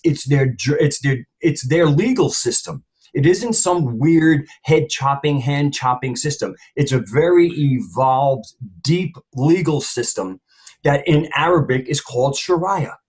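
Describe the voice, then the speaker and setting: A man's voice: on the high side, a little slow, darkish tone, husky. A man speaking as a panelist in an opinionated discussion, rambling and emphatic, often repeating phrases for weight.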